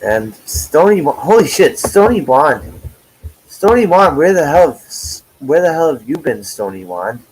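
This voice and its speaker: A man's voice: a little high, big pitch swings, unhurried, darkish tone, a little hiss or hum, poor audio. A man in his forties hosting a livestream from home. He chats loosely, swears freely and greets his viewers by name. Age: 40s. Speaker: livestream host. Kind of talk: casual chat.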